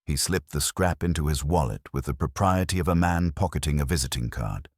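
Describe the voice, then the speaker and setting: Very deep-voiced male storyteller. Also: brisk, no echo, clean studio sound. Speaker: narrator of a mystery story. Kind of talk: scripted storytelling narration, wry English period mystery.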